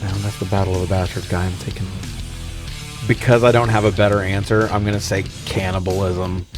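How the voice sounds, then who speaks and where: A man's voice: low-pitched, little pitch movement, a little fast, over music. A man co-hosting a podcast, casually recapping and riffing with incredulous, sweary humor. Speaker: podcast co-host. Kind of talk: casual comedic film recap and chat.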